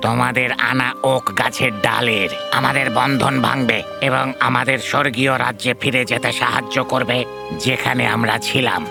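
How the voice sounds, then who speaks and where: A man voicing a wise, solemn ancient guardian spirit in an animated fairy tale, grave and formal with a gravelly voice. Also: mid-range voice, moderate pace, over music.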